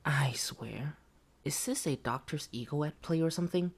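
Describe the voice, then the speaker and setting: A woman playing a betrayed test subject in a horror audio roleplay, taunting and pleading intimately, with a husky voice and whispered phrases. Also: sits low, moderate pace, slight background noise. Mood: taunting concern. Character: betrayed test subject, wounded, admiring, menacingly sweet young woman.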